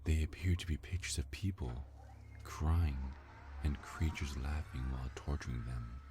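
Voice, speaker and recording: A man's voice: very deep voice, moderate pace, noisy background. A man narrating a scripted ASMR fantasy story as its storyteller.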